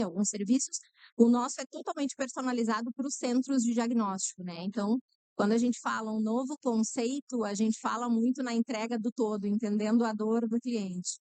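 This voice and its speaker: A middle-aged woman, a nurse and co-founder, explains her work in earnest detail. Her voice is smooth and clear, a bit higher than most. The sound is dry and close. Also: darkish tone, dry recording. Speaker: guest, nurse and company co-founder. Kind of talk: interview answers.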